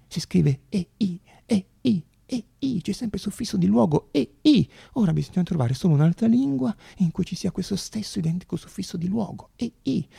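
A man playing an excitable, obsessive young student, muttering to himself and bursting into exclamations in a theatrical monologue. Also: high-pitched, creaky, husky, no echo, clean studio sound, exaggerated voice. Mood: eager searching.

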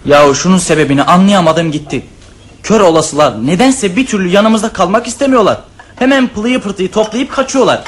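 Man playing commanding eldest boy, high voice, brusque, radio theatre, noisy recording. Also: a little fast, natural voice. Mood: bossy, irritated. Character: bossy eldest son ordering his siblings. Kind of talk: Turkish radio theatre acting.

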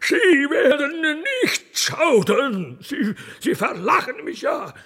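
A man's voice: very high voice, big pitch swings, sharp tone, voice pushed up, heavily acted. A man voicing a decrepit, ancient God the Father, forgetful and given to wailing and blustering, in a theatrical radio play. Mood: enraged, despairing. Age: very old. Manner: drawn-out, lamenting, blustering.

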